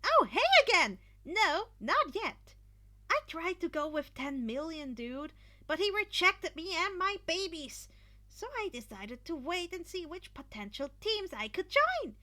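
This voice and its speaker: Bubbly teen girl inventor, played by a woman, bold and eager, very high, bright, forceful delivery. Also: very animated, a little slow, full character voice. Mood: chatty, eager. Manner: exclaiming, eager. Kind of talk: acted fan fiction dialogue.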